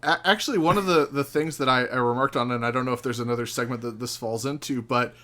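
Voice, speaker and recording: A man guesting on a podcast, chatting casually in a halting, incredulous way. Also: medium pitch, bright, forceful tone. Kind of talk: casual chat.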